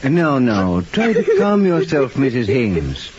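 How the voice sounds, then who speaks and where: Older male detective, kindly, calm, varied intonation, radio play, muffled old recording. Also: mid-range voice, takes it slowly, slight background noise. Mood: calm, reassuring. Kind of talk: old-time radio drama acting.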